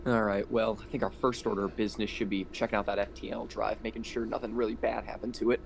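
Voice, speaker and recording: Gravelly low-voiced man thinking aloud. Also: little pitch movement, quick pace, a little hiss or hum. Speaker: player in a tabletop roleplaying game. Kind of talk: casual game table talk.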